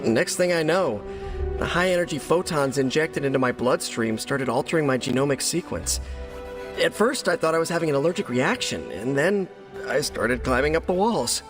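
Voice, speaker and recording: A man's voice: a little high, lively intonation, normal speed, music in the background, lightly acted. An actor voicing a young, wisecracking, awkward American male superhero in a video game, joking in a hesitant, rambling way. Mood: sheepish, joking.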